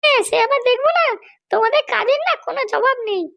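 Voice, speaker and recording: A woman's voice: very high-pitched, fast, creaky, a bit bright, full character voice. A woman voicing a bossy, vengeful female politician and scolding wife in a comedy cartoon, haranguing and boastful.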